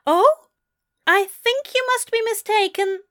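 A woman voicing a polite, bewildered British gentleman in precise, enunciated tones for an audio story. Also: very high voice, slow, pitched above the performer's own voice, full character voice. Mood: surprised, uncomfortable. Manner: genteel, over-polite, fussy.

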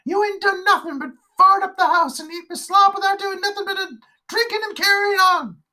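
A narrator voicing a harsh rural mother who scolds in a rough country dialect, pitched high. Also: lively intonation, moderate pace, fairly bright tone, lightly acted. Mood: scolding. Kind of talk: storybook character voice.

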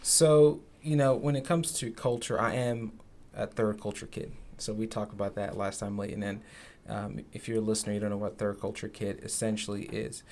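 A man's voice: fairly low pitch, fairly even intonation, normal speed, darkish tone, slight background noise. A young man from Zimbabwe, co-hosting a podcast, talking in an earnest, reflective way with many fillers. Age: young adult. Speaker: podcast co-host. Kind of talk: casual podcast conversation.

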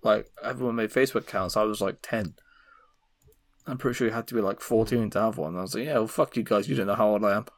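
A young man chatting casually as a podcast co-host, telling stories in a sweary, animated way. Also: lowish voice, fast, no echo. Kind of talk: casual chat.

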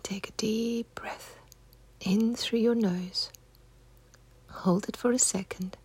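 A woman leading a guided meditation in a soft, husky, near-whispered voice, giving gentle, unhurried, soothing instructions. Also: fairly high pitch, fairly even intonation, no echo.

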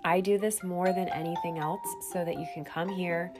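A low-pitched woman hosts her own podcast, her voice a little congested from a cold. She speaks earnestly and directly to her listeners in a personal monologue, with faint music underneath. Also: steady tone, normal speed. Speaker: podcast host.

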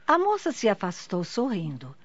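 A woman's voice: medium pitch, wildly swooping pitch, moderate pace. A woman reading scripted narration for a radio drama. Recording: dull lo-fi, noisy background.

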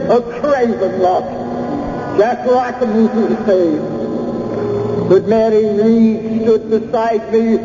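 A woman's voice: on the high side, background noise. An actress playing the ghost of a crafty, pleading female pirate in an old-time radio drama, with theatrical, archaic seafaring speech. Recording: muffled, echoing old radio broadcast with music.

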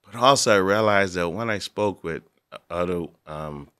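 Guy, really low voice, earnest and reflective, dry close sound. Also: moderate pace, bright, forceful tone, clear, resonant tone, no echo. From Bahamas. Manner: reflective, earnest, with easy laughs. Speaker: university assistant director, guest. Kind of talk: personal storytelling in conversation.

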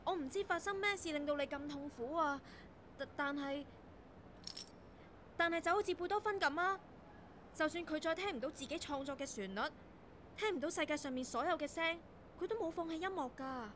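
An actress in a Cantonese radio drama playing a naive, eager young radio intern, earnest and chatty, with a Hong Kong accent. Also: female voice, sits high, brisk, long pauses, fairly bright tone, slight background noise. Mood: concerned, earnest.

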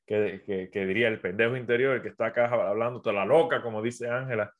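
A man from Puerto Rico with a Puerto Rican accent softened by years in South America, giving business advice on a casual live stream, talking straight to his viewers with many fillers and restarts. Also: on the low side, steady tone, fast, phone-quality sound. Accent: Puerto Rican Spanish with a South American mix. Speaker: entrepreneur and business coach. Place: at home, phone on a tripod.

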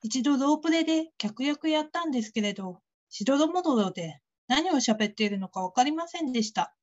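A woman's voice: high voice, animated, a little fast, muffled recording. A woman playing a critical call-centre worker in a radio drama, blunt and coolly polite. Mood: disapproving. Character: curt, critical female call-centre colleague. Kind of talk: Japanese radio drama acting.

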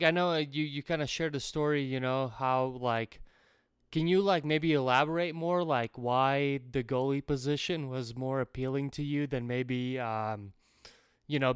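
Laid-back man from Latvia, smooth voice. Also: mid-range voice, dry recording, clean studio sound. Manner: laid-back, filler-heavy, drawn out. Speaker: podcast co-host. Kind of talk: casual podcast chat.

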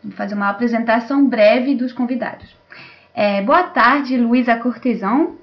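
A woman hosting a panel, introducing it in a semi-formal, somewhat halting way over an online call. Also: a little high. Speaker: host and moderator. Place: remote video call. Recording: dull lo-fi online call audio.

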